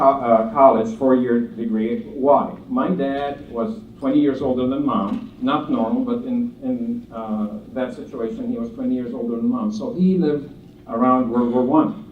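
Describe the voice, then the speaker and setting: A man's voice: medium pitch, normal speed, slight background noise. Lebanese-born man speaking in a roomy hall. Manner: humorous, earnest storytelling with asides. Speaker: speaker at a conference. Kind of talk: motivational speech. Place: conference hall. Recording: distant roomy hall sound, dull.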